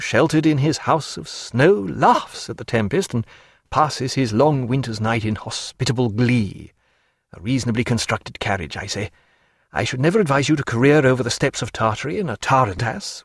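A man voicing a wry, learned gentleman physician who speaks in a measured way. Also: mid-range voice, husky, dry recording, clean studio sound.

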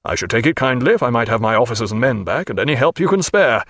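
A man voicing a tired, curt naval captain in an audiobook, speaking low and clipped. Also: expressive, fast, fairly bright tone, dry recording, studio quality. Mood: weary. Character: weary, curt middle-aged naval captain.

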